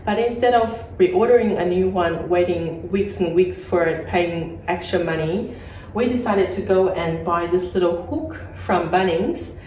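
A woman gives a casual, explanatory tour of a renovated kitchen, pointing things out as she goes. Her voice sits a little low and carries from a few feet off the phone mic. The bare room adds a noticeable echo. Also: a little mellow, distant mic, slight background noise. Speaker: property flipper showing a renovation. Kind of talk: casual home tour walkthrough. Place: bright empty renovated kitchen, indoors. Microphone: phone camera held a few feet away. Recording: echoey room, voice a bit off-mic.

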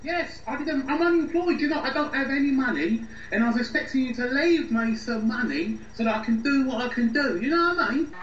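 A distant, muffled recording carries a man acting a petulant British boyfriend in a radio drama. His voice is strikingly high as he grumbles and defends his idle life in colloquial speech. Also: expressive, brisk, hardly any pauses, fairly bright tone, reverberant, slight background noise. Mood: casual, defensive. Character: unemployed, whiny, defensive boyfriend, cheeky layabout.

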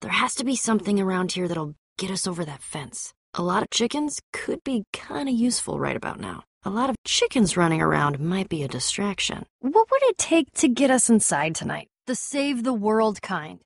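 Young female game adventurer, American accent, tough, sarcastic, self-assured, very expressive pitch, dry close sound. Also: gravelly, dry recording. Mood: urgent, concerned. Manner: wry, brisk, confident. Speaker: video game voice actor. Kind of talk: animated adventure game dialogue.

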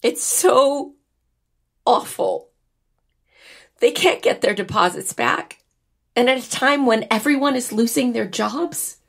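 A woman's voice: on the high side, slow pace, vocal fry, pauses often, fairly bright tone, full character voice. A woman playing a wry, wistful young American bride in a naturalistic audio drama. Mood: wistful, upset.

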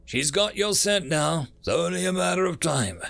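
Man voicing a wry, smirking professor, pitched up. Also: animated, normal speed, vocal fry, fairly bright tone, soft background music, exaggerated voice. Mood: smug, amused.

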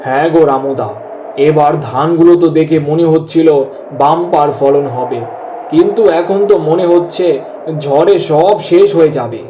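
A man's voice: fairly high pitch, normal speed, dark tone, slight background noise, natural voice, poor audio. A man playing an anxious village farmhand in a cartoon, talking in an urgent, folksy way to his friend. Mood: worried. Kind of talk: cartoon voice acting.

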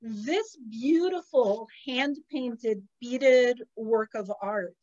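A middle-aged woman chats over a webcam from an outdoor terrace, a touch sniffly, her fairly high voice sounding muffled and lo-fi. She tells stories with lots of laughter and lively energy. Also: extremely slow. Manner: chatty, laughs often, animated storytelling. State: a little sniffly. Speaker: psychic channel host. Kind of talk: casual video call chat. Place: outdoor terrace with potted plants. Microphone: laptop webcam on a video call.

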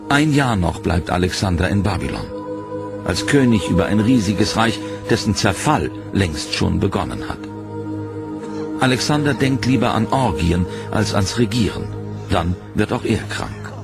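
A man with a lower voice tells a history documentary in a measured, solemn studio voice-over. Loud, dramatic music plays behind his words throughout. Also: gravelly. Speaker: documentary narrator. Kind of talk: scripted documentary narration.

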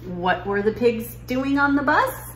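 A woman's voice: on the high side, lively intonation, unhurried, a bit bright, pitched above the performer's own voice. Storybook mother, played by a woman: incredulous, eager, emphatic questioner, recorded in a roomy space with noise. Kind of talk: children's picture book read-aloud. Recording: roomy living room with noise.

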